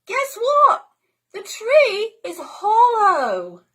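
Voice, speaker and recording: A woman's voice: very high-pitched, huge pitch swings, very slow, fairly bright tone, voice pushed up, full character voice. A woman voicing a bold, playful young girl with squealing, exaggerated delivery. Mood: gleeful.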